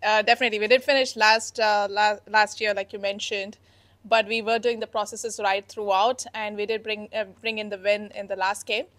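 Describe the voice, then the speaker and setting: A young woman team captain answering questions at a post-match press conference in an indoor press room, speaking earnestly and in a measured way into a handheld microphone. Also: mid-range voice, level tone, a bit bright.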